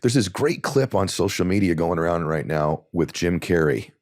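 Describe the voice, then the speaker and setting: Deep-voiced earnest man telling a story. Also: lively intonation, moderate pace, a little mellow, dry recording, studio quality. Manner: earnest, builds to impassioned emphasis when telling a story. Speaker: guest. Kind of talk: conversational interview storytelling.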